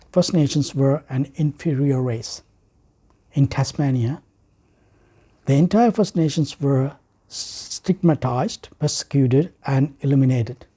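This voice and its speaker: Male orator, Sri Lankan accent, very mellow dark tone, formal and deliberate. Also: mid-range voice. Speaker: writer and speaker. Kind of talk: prepared speech.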